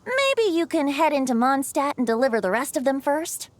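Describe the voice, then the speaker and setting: A woman's voice: high-pitched, sing-song, moderate pace, no echo. A woman voicing a playful, teasing young male bard in a video game, airy and theatrical.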